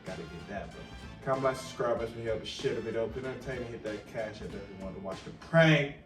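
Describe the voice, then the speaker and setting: A man's voice: medium pitch, quick pace. A man giving casual, slangy sports commentary as a video host, repeating phrases for emphasis, recorded roomy and a little distant. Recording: roomy, distant mic, music behind.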